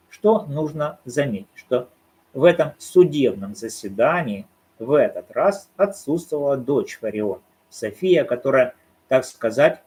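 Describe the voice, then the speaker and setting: Husky man giving argumentative commentary. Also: medium pitch, darkish tone. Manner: argumentative, poses rhetorical questions and answers them. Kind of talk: solo commentary on a court case.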